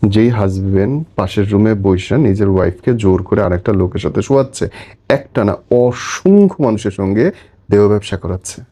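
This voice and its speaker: A man's voice: fairly low pitch, dark tone. A man hosting an interview and putting probing questions to his guest. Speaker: interviewer, host.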